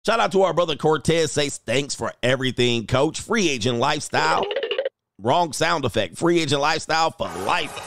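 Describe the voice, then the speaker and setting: A man's voice: on the high side, animated, moderate pace, creaky, fairly bright tone, dry recording. A man hosting a casual livestream as a dating coach, reading viewer comments aloud in a joking, rambling way and laughing often. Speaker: dating coach and show host.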